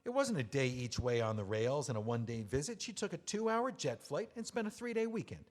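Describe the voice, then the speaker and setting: An actor playing a betrayed widower in an audio drama, mocking and seething with anger. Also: male voice, medium pitch, very animated, brisk. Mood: bitter sarcastic. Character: bitter betrayed widower, man. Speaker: widower writing a memoir. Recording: long hall reverb.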